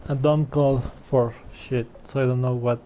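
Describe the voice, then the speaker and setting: A man's voice: mid-range voice, fairly even intonation, moderate pace, highs cut off, slight background noise. A man giving casual, jokey, informal commentary while playing a video game. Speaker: gaming streamer, player.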